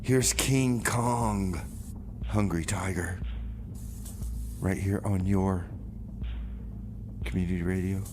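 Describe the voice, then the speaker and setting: A man hosting a community radio music show in a casual, halting style with comic banter, often repeating his words. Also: sits low, fairly even intonation, background noise.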